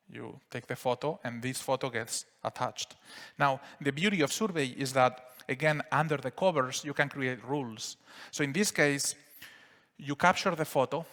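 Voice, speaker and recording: A man with a Spanish accent giving a relaxed live software demo at a conference, explaining steps with frequent fillers. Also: mid-range voice. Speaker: software presenter. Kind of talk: live product demo talk. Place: conference hall. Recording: reverberant conference hall.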